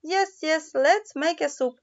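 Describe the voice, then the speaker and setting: A woman's voice: sits high, takes it slowly. A woman voicing eager talking vegetables in a children's storybook. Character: eager talking vegetables planning soup together. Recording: dull lo-fi recording.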